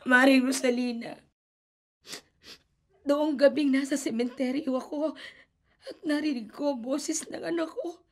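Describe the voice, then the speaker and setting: A high-pitched woman's voice, an anguished mother pleading and confessing in an emotional rush. It is a studio radio drama performance. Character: desperate grieving mother.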